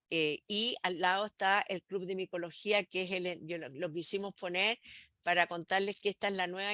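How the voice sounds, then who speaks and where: A woman's voice: fairly low pitch, bright, forceful tone, no echo. A middle-aged woman, hosting an online talk for teachers and walking through slides with frequent "eh" fillers and restarts. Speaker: host presenting materials to teachers.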